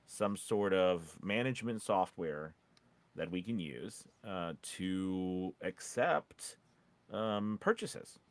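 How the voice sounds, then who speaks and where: A man with a fairly low voice talks through business advice casually, as a podcast coach would. He is animated but halting, restarting and checking in with short questions. The sound is very dry, with no room echo. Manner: animated, halting, often checks in with "right?" and "okay?". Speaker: podcast host and voice-over coach.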